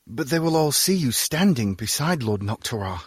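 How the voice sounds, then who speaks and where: Husky villain's henchman. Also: medium pitch, sing-song, normal speed, no echo, studio quality. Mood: grimly questioning. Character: male underling guard questioning his villainous master. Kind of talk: audio drama acting.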